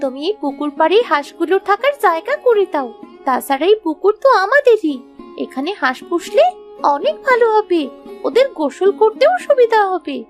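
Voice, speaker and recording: Woman voicing high-pitched eager village girl. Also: sing-song, moderate pace, faint music behind. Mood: delighted, eager. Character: Sonali, eager young village daughter. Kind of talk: children's cartoon story voice acting.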